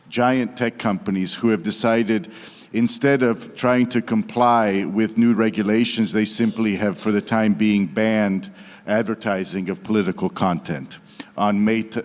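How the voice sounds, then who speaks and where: A man from Croatia, speaking as a politician on a conference panel, halting with many fillers and restarts but blunt in his opinions. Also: lowish voice. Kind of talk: panel discussion remarks. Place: conference hall. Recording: long reverb of a conference hall.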